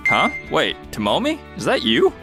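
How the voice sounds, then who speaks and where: Man acting a bright, encouraging young entrepreneur. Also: fairly high pitch, wildly swooping pitch, normal speed, soft background music, exaggerated voice. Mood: friendly surprise. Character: upbeat, kindly young male entrepreneur telling his story. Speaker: narrator and lead character in dub. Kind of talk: manga dub acting.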